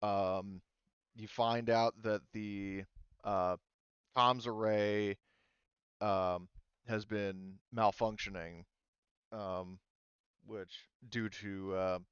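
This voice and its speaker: A man running a tabletop game, improvising the narration in a halting, casual way. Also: lowish voice, fairly even intonation, a bit bright, clear, resonant tone, no room sound, dull audio. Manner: halting, hesitant, casual delivery. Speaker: game master.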